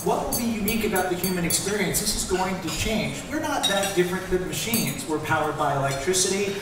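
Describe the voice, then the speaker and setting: A man's voice: high voice, animated, fairly quick. A middle-aged American man giving upbeat panel remarks, standing and gesturing on stage with a headset mic in a large event hall. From United States. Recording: echoey hall, distant mic, background noise.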